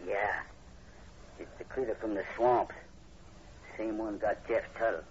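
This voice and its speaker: A man's voice: fairly low pitch, steady tone, a little slow, close to an everyday voice. An actor playing a timid, superstitious farm hired man with a rural Southern American accent in a 1940s radio horror play. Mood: ominous, uneasy. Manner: folksy, rustic, hesitant. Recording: muffled, noisy 1940s radio recording.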